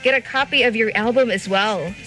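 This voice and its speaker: A woman's voice: fairly high pitch, fairly quick, a bit bright, soft background music, low-quality recording. A woman hosting a radio interview, bubbly and enthusiastic. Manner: bubbly, enthusiastic, laughs often. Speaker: radio host. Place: radio studio.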